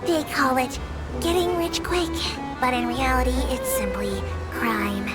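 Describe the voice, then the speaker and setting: High-voiced, prim little creature tour guide. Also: female voice, sing-song, unhurried. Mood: composed, dryly candid. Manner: formal, precise, politely matter-of-fact with dry asides. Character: small polite female creature working as a tour guide. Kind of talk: scripted video game tour announcements. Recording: loud music under the voice. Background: music.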